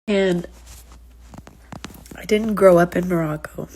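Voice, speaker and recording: A woman's voice: on the low side, slow pace, warm tone. Stuffy-nosed woman chatting casually. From United States. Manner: rambling, chatty, frequent "like" and "um". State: congested, sick with sinus trouble. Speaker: streamer answering chat. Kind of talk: casual live stream chat.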